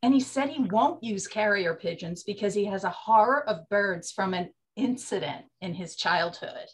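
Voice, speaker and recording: A woman's voice: mid-range voice, normal speed, lightly acted. An actress playing a bossy, slangy woman bootlegger in a 1920s comedy radio play. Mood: evasive.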